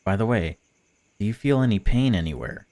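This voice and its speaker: A man's voice: lowish voice, expressive, a little fast, a little mellow, close to an everyday voice. A man voicing a female stalker in a visual novel, a chatty, patronising captor who pretends to care. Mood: falsely soothing. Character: cold, mocking female stalker, falsely caring captor. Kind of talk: visual novel dialogue read aloud.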